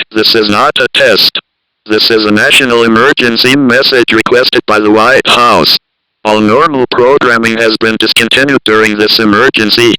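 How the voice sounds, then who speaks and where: A man's voice: mid-range voice, pushed and bright, smooth, no echo, close to an everyday voice, rough recording. A man playing a stern, formal emergency alert announcer in an audio drama, giving clipped, commanding instructions.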